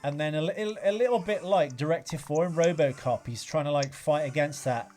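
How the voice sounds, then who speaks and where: A man's voice: a little high, fairly quick, darkish tone. A man co-hosting a casual film discussion podcast, explaining his ideas at length in a rambling, analytical way. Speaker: podcast co-host. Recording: music playing in the background. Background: film soundtrack music.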